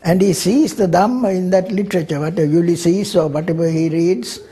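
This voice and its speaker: An elderly man, speaking haltingly in an indoor documentary interview, repeating words. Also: high voice, dark tone, slightly rough. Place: indoors in a living room.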